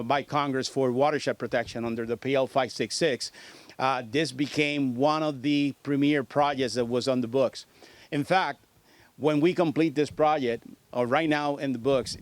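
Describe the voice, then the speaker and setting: A man in his fifties from Puerto Rico with a Puerto Rican accent, a state agency director giving a halting public speech full of fillers and restarts at a podium microphone. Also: mid-range voice, clear, resonant tone, dry recording. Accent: Puerto Rican Spanish. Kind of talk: public speech at a news conference.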